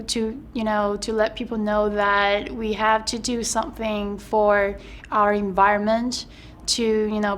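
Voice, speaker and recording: A woman's voice: medium pitch, a little hiss or hum. A young woman, answering interview questions in a chatty way with many fillers and restarts. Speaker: actress interviewed about her film role.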